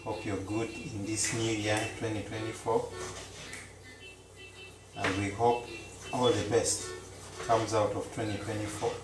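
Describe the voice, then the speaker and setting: A man, a Luganda speaker, chatting casually to viewers as he cooks in a home kitchen, slow and repetitive. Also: lowish voice, flat delivery, echoey room, distant mic, over music, low-quality recording. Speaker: cooking vlogger. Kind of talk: casual live-stream chat.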